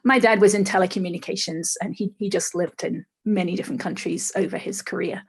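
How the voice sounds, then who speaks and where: A woman's voice: mid-range voice, steady tone, brisk, breathy edge. An older woman from England with a mid-Atlantic accent that still has some English in it. She tells her life story in a podcast interview over a video call, candid and reflective, with some hesitations. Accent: mid-Atlantic, slightly English. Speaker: guest. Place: small room with purple light. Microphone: video call, dark mic in front.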